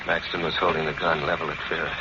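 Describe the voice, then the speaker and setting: A man's voice: rumbling low, flat delivery, quick pace. An actor playing a nervous, guilt-ridden hearse driver who narrates his own downfall in an old radio noir, stammering when cornered. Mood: tense narration. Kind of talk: old-time radio noir acting and first-person narration. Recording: noisy, dull old radio drama recording.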